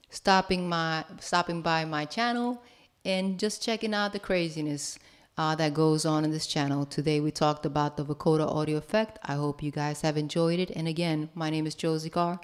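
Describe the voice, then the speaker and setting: A woman's voice: deep voice, fairly even intonation, normal speed, clean tone. A woman hosting a music production tutorial, talking to viewers in a casual, chatty, informal way. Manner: informal, chatty, addresses viewers as "you guys".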